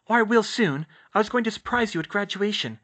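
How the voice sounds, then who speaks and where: A man's voice: high voice, lively intonation, fairly quick, a bit bright, voice pushed up. A man voicing a fierce, determined and protective teenage witch girl in a story, speaking in an urgent, emphatic way. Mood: earnest, urgent. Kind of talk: audiobook character voice.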